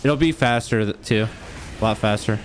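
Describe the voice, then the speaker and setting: A man's voice: on the low side, normal speed, slight background noise. A man in his thirties chatting casually while playing an online game, swearing and joking about himself. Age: 30s. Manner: casual, swearing, self-mocking. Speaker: streamer. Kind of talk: casual gaming chat.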